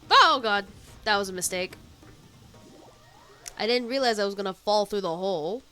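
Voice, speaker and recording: A woman's voice: medium pitch, moderate pace, pushed and bright, clear, resonant tone. A woman game streamer giving casual, chatty gameplay commentary, thinking aloud as she plays. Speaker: game streamer reacting to a story quest. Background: game music.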